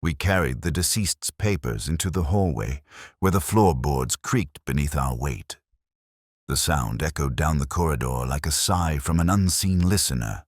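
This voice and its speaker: An older man narrating a first-person detective story in a measured, solemn, reflective manner. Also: extremely low pitch, expressive, dry recording, studio quality.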